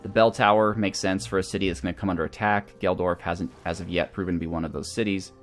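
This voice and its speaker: Low-voiced man doing game commentary. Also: steady tone, fast talker. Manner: thinking aloud, weighing options. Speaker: host of a gaming series. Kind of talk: casual video game playthrough commentary. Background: background music.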